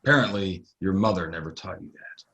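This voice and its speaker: A man plays a steely, unflappable stranger in a western radio play. His voice is on the low side, terse and dry, with a mocking edge. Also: expressive, a little fast, pushed and bright, muffled recording. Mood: cool, challenging. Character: calm, steely, mysterious stranger in an Old West saloon. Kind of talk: audio play acting, western.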